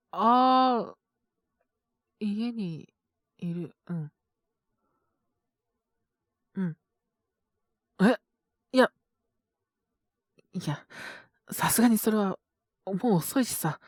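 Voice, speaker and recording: A woman playing a sick young male schoolteacher in a Japanese audio drama, gentle and flustered, speaking hesitantly in a weak, feverish mumble. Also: medium pitch, no room sound, studio quality. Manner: hesitant, mumbling, trailing off with many pauses. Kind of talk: Japanese boys' love situation voice drama.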